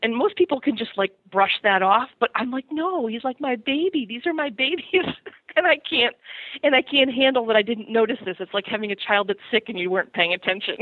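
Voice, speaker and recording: A woman's voice: fairly high pitch, brisk, no room sound. Middle-aged woman on a thin phone line. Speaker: podcast segment guest on horse parenting. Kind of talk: casual chat, personal anecdotes.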